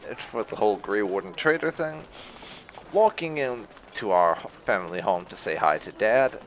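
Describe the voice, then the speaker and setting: A man's voice: fairly low pitch, lively intonation, normal speed, slight background noise. A man giving casual playthrough commentary, rambling a little as he thinks aloud. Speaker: gaming video host.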